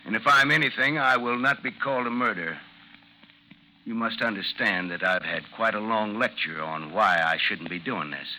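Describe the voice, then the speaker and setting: An actor in a 1950s radio drama playing a vengeful gentleman duellist, coolly mocking and formal in speech. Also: lowish voice, moderate pace. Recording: noisy 1950s radio recording, slight hiss.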